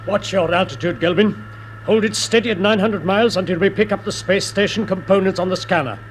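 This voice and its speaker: An actor playing a coolly confident, villainous spaceship commander in 1950s radio drama style, speaking with measured, precise authority. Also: male voice, high voice, close to an everyday voice. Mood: crisp command. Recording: noisy old radio broadcast recording.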